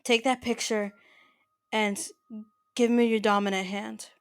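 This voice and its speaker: A woman playing an older mafia boss in an ASMR roleplay, soft-spoken, possessive and quietly menacing, with a halting, intimate delivery. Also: fairly high pitch, level tone, clean tone, dry recording. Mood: solemn, commanding. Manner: halting, stammering, intimate address to the listener.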